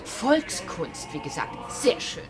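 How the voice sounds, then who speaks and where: A woman's voice: lowish voice, very animated, takes it slowly, over loud music. An actress in a radio drama playing a sentimental choir woman gushing about her outing.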